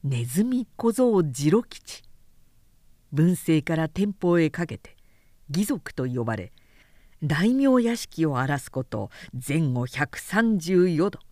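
A woman reading a literary story as a dramatic audiobook narrator, in a measured, expressive storytelling manner. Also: low-pitched, no room sound, studio quality. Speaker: narrator, announcer.

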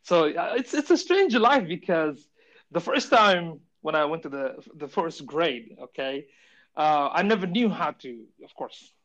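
A man's voice: a little high, lively intonation, unhurried, fairly bright tone, dull audio. A man raised in Saudi Arabia, a podcast guest telling his life story in a chatty way and laughing often. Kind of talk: casual interview storytelling.

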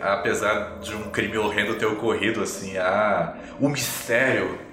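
Low-voiced man narrating in a roomy space. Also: steady tone, a little slow. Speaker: game master. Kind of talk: improvised tabletop game narration. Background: music.

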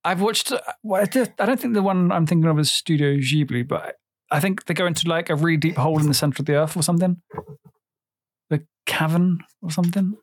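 A man's voice: fairly high pitch, fairly quick, dry recording. A young man co-hosting a casual podcast, cracking crude jokes and swearing freely. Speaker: podcast co-host. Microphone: headphones and microphone.

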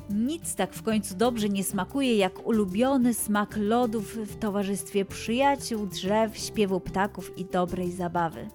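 A woman storyteller narrating a scripted children's tale in an expressive, deliberate way. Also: mid-range voice.

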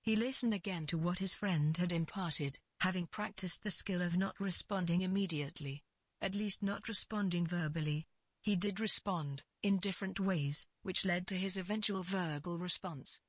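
Female story narrator, husky texture, lowish pitch, deliberate phrasing, very dry recording. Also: no echo. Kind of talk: scripted literary fiction narration.

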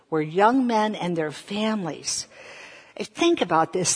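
An American woman in her fifties from the South, a preacher telling personal stories in a deliberate manner and putting questions to her listeners. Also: fairly low pitch, slightly rough. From Southern United States. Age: 50s. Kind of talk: sermon with personal storytelling. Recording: echoey hall with long reverb.

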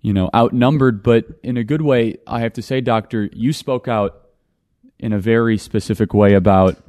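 A man's voice: mid-range voice, moderate pace, a little mellow, no echo, studio quality. A man hosting a talk show, putting probing questions to a panel of doctors. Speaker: host. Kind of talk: interviewing a panel.

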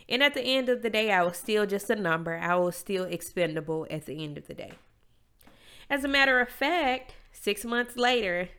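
A woman's voice: fairly low pitch, a bit bright, clear, resonant tone. A young adult woman with a stuffy, congested nose, hosting her own podcast in a candid, emphatic, motivational monologue. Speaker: podcast host.

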